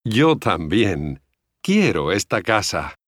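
A man reading scripted phrases for language learners, deliberate and clearly enunciated. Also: on the low side, sing-song, dry recording, studio quality. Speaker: language course model speaker.

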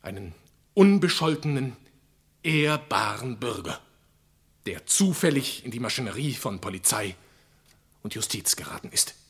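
A man playing an impassioned defence lawyer in a crime radio play, pleading theatrically with rhetorical pathos in a husky voice. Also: medium pitch, big pitch swings, a bit bright, exaggerated voice. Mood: grave, urgent. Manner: rhetorical, pathos-laden, dramatic pauses. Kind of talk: German crime radio play, courtroom oratory.

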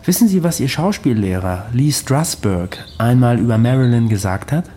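An actor in a radio drama playing a secretive, protective watcher who tells his story in a measured, deliberate way. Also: mid-range voice, animated, dark tone, slight background noise, natural voice. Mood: brooding, wry.

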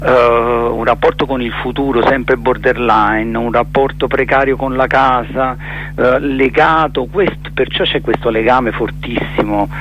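A man giving a reflective radio interview as a theatre director, halting and emphatic, repeating words as he searches for terms. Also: medium pitch, fairly even intonation, fairly bright tone, slight background noise, low-quality recording.

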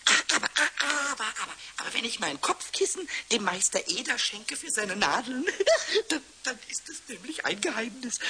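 A man playing a cheeky, excitable little kobold in a children's radio play, squeaky and childlike, stammering out repeated words and exclamations. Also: full character voice. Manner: excitable, stuttering repetitions and exclamations. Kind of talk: 1970s German children's radio play acting. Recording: noisy, crackly old radio-play recording.

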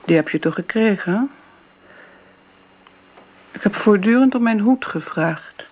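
A woman's voice: medium pitch, animated, a little slow. An actress playing an elderly, wistful and fussy family friend in a Dutch radio play, rambling and flustered as she justifies herself. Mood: defensive. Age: older. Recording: dull, band-limited old radio recording.

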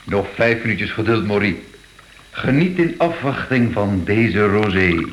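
An actor playing a courteous, practical gallery director in a radio drama, measured. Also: male voice, fairly low pitch, expressive, slight background noise, rough recording. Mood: courteous, informative.

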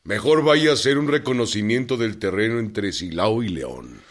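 An actor with a Mexican accent playing a stubborn, commanding revolutionary general in a radio drama, speaking bluntly and with authority. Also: male voice, lowish voice, expressive, normal speed, natural voice. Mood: curt, stubborn.

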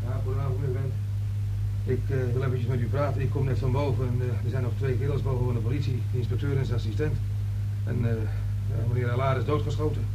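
A man's voice: medium pitch, flat delivery, quick pace, background noise, lightly acted. A man acting a nervous, chatty working-class fellow in a Dutch radio play, hesitant, rambling and colloquial. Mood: apologetic, confidential.

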